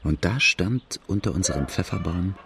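A man's voice: low-pitched, lively intonation, moderate pace, gravelly, background noise. An actor playing a rueful outlaw who recounts his hard childhood, narrating plainly in a radio drama. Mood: rueful, reflective.

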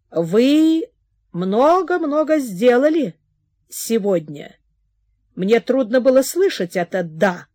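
A woman's voice: on the high side, darkish tone, no room sound, clean studio sound, voice pushed up, full character voice. A woman reading the part of a young man in love, pleading with rising emotion in a storybook reading. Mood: bitter. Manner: impassioned, imploring.

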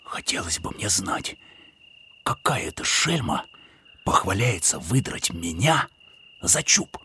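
An actor playing a rowdy, hot-blooded young Cossack village lad in a theatrical, declamatory audio drama style. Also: male voice, low-pitched, sing-song, takes it slowly, pushed and bright, slight background noise, exaggerated voice. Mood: muttering, indignant.

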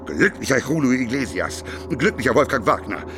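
An actor playing a scornful chanter in a radio play, declaiming emphatic, drawn-out litanies. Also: male voice, medium pitch, very animated, over loud music. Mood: mocking litany. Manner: incantatory, emphatic, drawn-out repetitions. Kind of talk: German radio play recitation.

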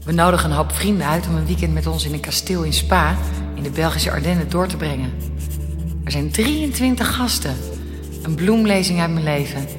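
A woman's voice: deep voice, fairly quick, close to an everyday voice. A woman playing a dying young mother in a radio drama, speaking tenderly as if writing to her child. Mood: tender, grateful. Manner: tender, direct address. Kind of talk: radio drama, intimate letter narration. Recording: long reverb, music behind.

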